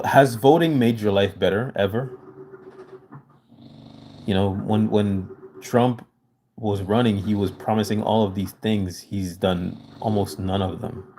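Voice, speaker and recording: A man's voice: on the low side, darkish tone, a little hiss or hum. A man whose first language is French, hosting a casual livestream and talking loosely to his chat, full of "you know" fillers. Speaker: livestream host.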